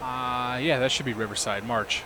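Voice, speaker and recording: A hoarse man hosting a livestream, chatting casually and talking himself through each cockpit step as he flies a simulator. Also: medium pitch, fairly even intonation, moderate pace, noisy background. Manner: talks himself through cockpit steps, chats with viewers. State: losing his voice, hoarse. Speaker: livestream host. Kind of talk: casual commentary while flying a simulator.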